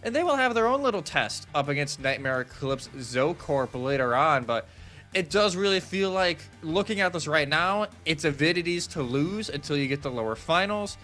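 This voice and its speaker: A man's voice: a little high, expressive, normal speed, pushed and bright. A man doing conversational live esports analysis as a commentator, explaining things in a casual way. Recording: music behind the voice. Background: music.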